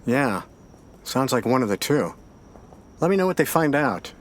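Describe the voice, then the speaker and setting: An actor playing a steady, probing American sheriff's detective in an audio drama, questioning in a measured, matter-of-fact way. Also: male voice, medium pitch. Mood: dry, skeptical.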